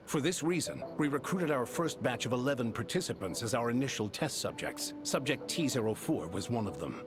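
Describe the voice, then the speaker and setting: An actor playing a composed, authoritative male scientist in a video game, explaining grim matters in formal, measured, articulate speech. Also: mid-range voice, natural voice. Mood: grave, explanatory. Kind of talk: scripted game dialogue, formal exposition. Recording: game music behind the voice.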